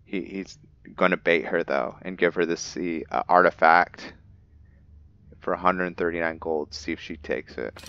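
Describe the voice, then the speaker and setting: A man's voice: sits low, fairly even intonation, unhurried, pushed and bright, slight background noise. A man giving casual roleplay commentary on a game, thinking aloud and slipping into character. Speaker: gaming host. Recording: dull lo-fi mic, music behind.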